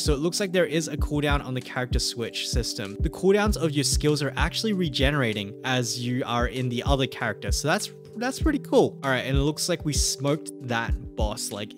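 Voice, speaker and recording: A young man chatting casually as he plays through a mobile game, excitable and quick to laugh, recorded at a home setup on a desk mic. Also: medium pitch. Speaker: gaming youtuber. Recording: music playing behind voice. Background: game music.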